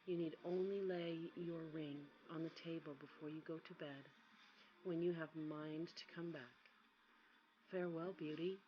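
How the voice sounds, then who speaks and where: Storybook monster, male voiced by a woman: devoted, sad, low-pitched, gravely resigned, lightly noisy recording. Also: fairly even intonation, unhurried, dull audio. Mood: solemn, resigned. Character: sad, devoted male beast, solemn and yielding.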